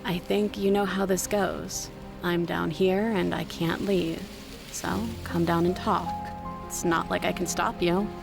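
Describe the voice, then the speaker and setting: A woman's voice: fairly low pitch, fairly even intonation, measured pace. A woman voicing a bitter captive princess in a horror game, weary and accusing, her voice worn down. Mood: resigned, accusing. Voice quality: worn-down, creaky. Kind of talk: dramatic game voice acting. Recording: music under the voice. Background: background music.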